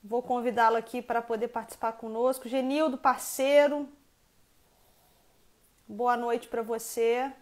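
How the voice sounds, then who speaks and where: Woman, Minas Gerais accent, high voice, chatty, affectionate, live-stream host on a video call. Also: flat delivery, unhurried, pauses often. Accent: Minas Gerais Portuguese. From Rio de Janeiro state, Brazil. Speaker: university professor hosting a live stream. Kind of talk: warm casual live-stream interview.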